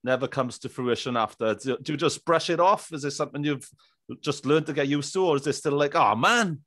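A man's voice: a little high, fairly quick, fairly bright tone, no room sound, clean studio sound. A British man in his thirties hosting a casual podcast interview in an encouraging, enthusiastic way. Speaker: podcast host. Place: small indoor room, video call. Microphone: studio microphone on arm, headphones.

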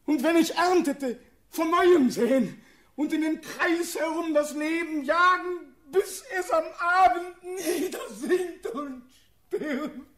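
A young man acting a desperate condemned prince pleading for his life in a classical verse radio drama, impassioned and declamatory, swinging from hush to outcry. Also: sits high, lively intonation, slow, pauses often, slightly rough, full character voice. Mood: feverish renunciation.

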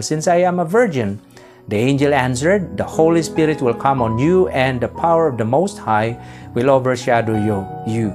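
A man in his forties preaching a home-recorded sermon to camera, reading scripture and then explaining it in Taglish with polite "po" and short pauses. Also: medium pitch, a little mellow. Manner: earnest preaching with frequent "po" and filler pauses. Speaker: pastor. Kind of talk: sermon to camera, mixing Tagalog and English.

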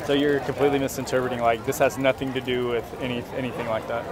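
Fast-talking salesman. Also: mid-range voice, little pitch movement, a crowd in the background. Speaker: cable and internet salesman. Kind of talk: explanatory street sales talk. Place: outdoors on a city plaza. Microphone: camera a few feet away.

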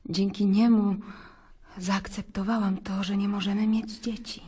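An actress playing the earnest wife of a Roman governor in a radio play. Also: female voice, mid-range voice, flat delivery, measured pace, a little mellow, breathy edge. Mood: quiet, tender. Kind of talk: radio drama acting.

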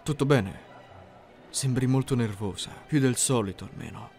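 A man playing an earnest, socially awkward high-school boy in an anime-style roleplay, deadpan, literal and hesitant. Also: moderate pace, lightly acted. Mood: puzzled. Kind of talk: scripted anime fan-dub roleplay. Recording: music behind the voice.

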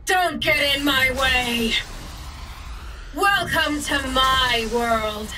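An actress playing a cold, threatening villainess with cool menace in a video game. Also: female voice, sits high, very slow, music in the background. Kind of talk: video game combat voice lines.

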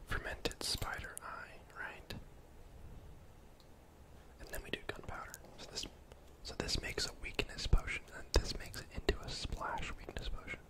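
A man's voice: normal speed, rough recording. Casting: young male gaming streamer, whispered ASMR, casual and rambling, close-miked. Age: young adult. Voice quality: soft, breathy whisper. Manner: casual, rambling, thinking aloud. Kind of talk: whispered ASMR gameplay commentary.

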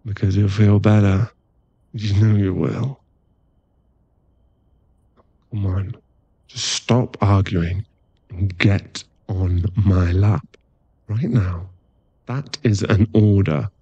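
A man as a husky, slow-talking werewolf boyfriend. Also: lowish voice, fairly even intonation, dry recording, dull audio, full character voice. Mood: coaxing, bossy. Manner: soft, coaxing, halting delivery. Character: affectionate, teasing, apologetic werewolf boyfriend. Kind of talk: intimate ASMR boyfriend roleplay. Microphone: close microphone.

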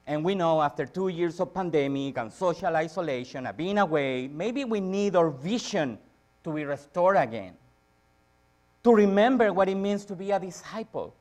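Guy preaching in church, slightly high voice, repeats stuff for emphasis, goes loud then soft. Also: animated, moderate pace. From Chile. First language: Spanish. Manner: emphatic repetition with dramatic rises and drops in volume. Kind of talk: church sermon. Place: church sanctuary, speaking from a lectern on stage.

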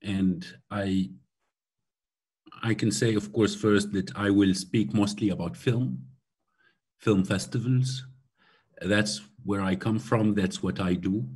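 A man's voice: lowish voice, steady tone, slow pace, pauses often, a little mellow, breathy edge. A man from Syria in his forties, giving a thoughtful keynote over a video call from a room at home, with many hesitations. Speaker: keynote speaker. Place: room at home by a glass door. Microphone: laptop webcam on video call.

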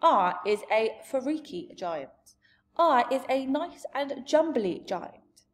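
A woman's voice: high voice, sing-song, slow pace, pitched above the performer's own voice, exaggerated voice. A woman voicing a big, friendly, blustering male giant from a storybook, speaking emphatically in jumbled grammar and made-up words. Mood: protesting. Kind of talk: storybook character voice.

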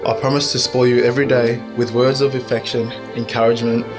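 A groom recites his wedding vows in a man's mid-pitched voice. His delivery stays very even, with little rise or fall, while music swells loudly behind him. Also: quick pace. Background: loud music.

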